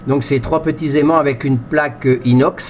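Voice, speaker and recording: A man's voice: medium pitch, steady tone, brisk, faint music behind. A man chatting casually as an amateur radio operator, with a rambling, repetitive way of talking. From France. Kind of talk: casual radio contact chat. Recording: muffled, noisy two-way radio sound.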